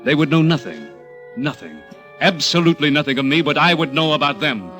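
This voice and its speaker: An American man acting as a cunning, controlling young murderer in a radio thriller, with an insinuating, halting, deliberate delivery. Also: a little high, animated, pushed and bright, soft background music. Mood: tense, brooding. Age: young adult. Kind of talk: radio thriller acting with first-person narration.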